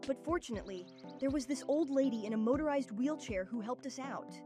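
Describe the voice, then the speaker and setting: A woman's voice: sits high, a little fast, music in the background, natural voice. A woman playing a confident, practical young kid who leads a team of heroes in a cartoon comic dub. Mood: recounting.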